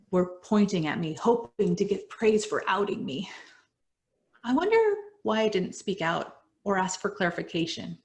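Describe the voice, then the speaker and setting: Earnest woman reading a personal essay. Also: mid-range voice. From United States. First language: Spanish. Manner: earnest, measured, dropping soft at line ends. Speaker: storyteller. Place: home, virtual event.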